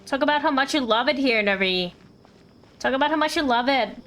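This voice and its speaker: A woman's voice: high voice, animated, a little fast, a bit bright. A woman doing casual live commentary on a game, chatty, playful and rambling. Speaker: game livestreamer. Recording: livestream with game music behind. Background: game music.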